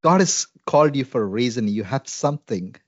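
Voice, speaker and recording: A man from India speaks with an Indian English accent, earnest and reflective, often pausing on fillers. His voice sits at an ordinary pitch. The recording is dry and slightly dull. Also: expressive, a little mellow, no echo. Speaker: Salvation Army minister. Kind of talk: conversational interview.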